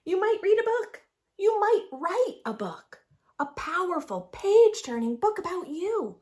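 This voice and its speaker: A woman reading a picture book aloud for young children in an expressive, sing-song storytelling manner, adding her own asides. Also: extremely high pitch, measured pace. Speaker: children's read-aloud host.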